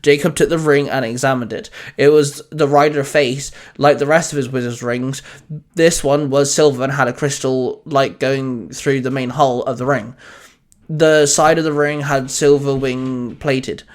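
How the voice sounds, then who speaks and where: A man's voice: mid-range voice, steady tone, normal speed. A man narrating an audio fan fiction story, reading as the narrator.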